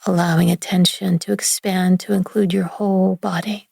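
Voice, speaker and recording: A woman leading a guided relaxation meditation in a gentle, soothing, hushed manner with long pauses between phrases. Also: on the low side, little pitch movement, vocal fry, husky, no room sound, clean studio sound. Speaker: yoga nidra meditation guide.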